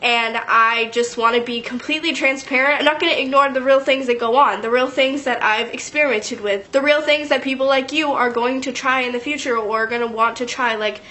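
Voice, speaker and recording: A woman's voice: sits high, fairly even intonation, a little fast, hardly any pauses, sharp tone. A young woman with a congested, stuffy voice from a cold, chatting casually to her channel, full of "like" fillers and earnest asides. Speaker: YouTuber. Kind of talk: casual storytime vlog.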